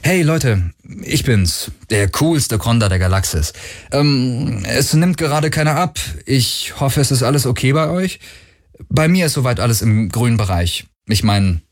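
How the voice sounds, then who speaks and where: Nervous young pilot, rambling, jokey, a man doing him higher and lively, husky. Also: measured pace. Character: young male pilot, nervous, joking, restless.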